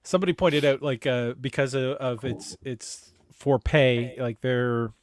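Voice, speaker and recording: A man's voice: mid-range voice, steady tone, unhurried, dry recording, clean studio sound. A man chatting on a video call, rambling and hesitant with many fillers and restarts. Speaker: chat show co-host. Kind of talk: casual video chat.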